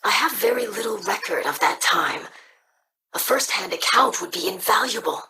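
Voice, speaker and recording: An earnest young alien scribe speaks formally in a bright voice. The line sounds set back from the mic with faint noise. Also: female voice, medium pitch, lively intonation, moderate pace, far from the mic.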